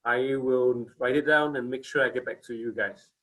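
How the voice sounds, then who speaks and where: A man's voice: mid-range voice, fairly even intonation, moderate pace, a bit bright, low-quality recording. A man in his forties from Singapore, giving a casual company presentation on a video call, hesitant with many fillers and restarts.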